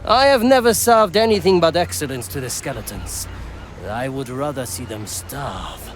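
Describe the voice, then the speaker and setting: An actor playing a courteous, proud assassin who cooks aboard a ship in a video game, formal and measured. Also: male voice, mid-range voice, animated, background noise, natural voice. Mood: intrigued, proud. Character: courteous, proud Japanese assassin and ship's cook.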